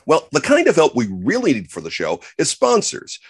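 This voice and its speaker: A man's voice: on the high side, wildly swooping pitch, moderate pace, husky. A man doing scripted, lightly comic announcements and sponsor plugs as a producer of an audio show.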